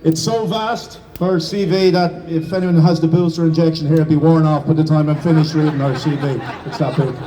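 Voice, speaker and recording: A man with an Irish accent hosts a public commemoration in a fairly high voice. He speaks in a declamatory way with occasional hesitations. He sounds distant from the microphone, with light noise behind him. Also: steady tone, brisk, few pauses, warm tone, some chatter behind. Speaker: master of ceremonies. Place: outdoors in front of a building.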